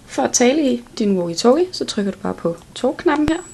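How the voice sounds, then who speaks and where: A woman's voice: a little high, very animated, vocal fry, a little mellow, slightly rough. A woman giving an instructional product walkthrough in a plain, step-by-step way. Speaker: product demonstrator.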